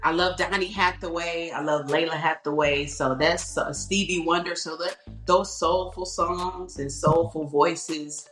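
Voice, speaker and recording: A woman with a low alto voice, telling stories in a reflective way and peppering her talk with "you know". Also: fairly even intonation, unhurried, reverberant, faint music behind. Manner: warm, reflective storytelling with many "you know"s. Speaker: guest singer. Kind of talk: casual interview talk.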